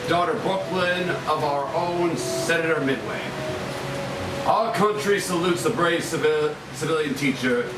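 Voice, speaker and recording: An actor at a table read playing an American president, delivering a formal, ceremonious address a little haltingly. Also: male voice, on the high side. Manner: halting, ceremonious, stumbles over the script. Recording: echoey, distant room recording with clear noise.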